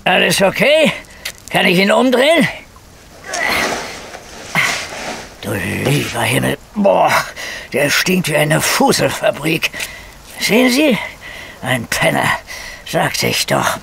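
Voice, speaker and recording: Blunt middle-aged detective, full of cold, gravelly, cynical, radio drama, faint noise behind. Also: male voice, a little high, huge pitch swings, slow, fairly bright tone, heavily acted. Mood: brusque. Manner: gruff, blunt, colloquial. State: coughing with a cold. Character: grumpy, cynical small round middle-aged police detective with flu. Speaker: actor. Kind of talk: radio crime drama acting.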